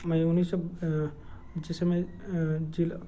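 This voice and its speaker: Halting man with a mellow voice. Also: fairly high pitch, monotone, slight background noise. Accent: Jharkhand. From Dumka district, Jharkhand, India. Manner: halting, hesitant, many filled pauses and repetitions. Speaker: educational video creator. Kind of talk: informal explainer with screen walkthrough.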